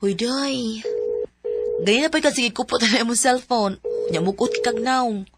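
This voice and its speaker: A woman's voice: a little high, unhurried, very noisy. A woman acting the lead's frank, teasing friend in a radio drama, giving blunt advice. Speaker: supporting character, the lead's friend.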